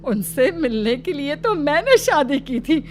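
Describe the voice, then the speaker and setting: A woman's voice: sits high, very animated, unhurried, a little hiss or hum. A woman voice acting flustered, nosy women of an Indian household, a mother-in-law and elder daughter-in-law, in a cartoon story. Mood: nosy, scolding.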